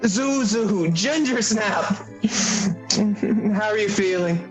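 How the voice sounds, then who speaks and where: A man's voice: high voice, animated, slow, music in the background, poor audio. A man playing an overjoyed, emotional husband and father in a radio play, effusive and exclamatory. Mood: tender, joyful. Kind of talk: theatrical radio play acting.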